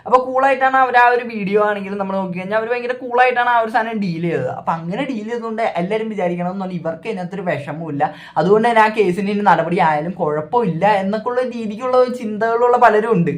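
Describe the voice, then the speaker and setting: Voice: medium pitch, fast, hardly any pauses. A reaction video host with a Kerala accent, giving opinionated, repetitive casual commentary.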